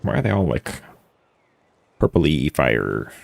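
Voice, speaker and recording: A man's voice: extremely low pitch, sing-song, slow pace, creaky, slight background noise. A man streaming a game, giving offhand commentary with joking asides. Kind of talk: casual gameplay commentary.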